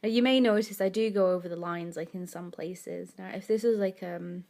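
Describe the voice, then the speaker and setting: A woman's voice: fairly low pitch, normal speed, gravelly, darkish tone. A woman, a bit sniffly from a cold, chatting in a rambling, self-correcting way while talking through her drawing. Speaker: art vlogger. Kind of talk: casual chat over a drawing.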